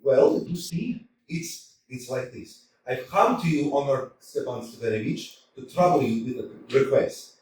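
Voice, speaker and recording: A man's voice: mid-range voice, expressive, a little slow, darkish tone, lightly acted. A man playing a stammering nervous suitor. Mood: flustered. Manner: stammering, flustered, nervous laughs. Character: nervous anxious 35-year-old suitor, hypochondriac. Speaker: actor in a staged reading. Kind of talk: staged play reading. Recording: roomy hall from a distance, faint hiss.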